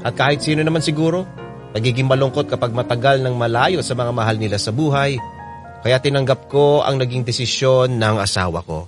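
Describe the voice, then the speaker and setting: A man's voice: medium pitch, a little fast. A man narrating a listener's letter as a scripted, first-person radio drama story. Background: music bed.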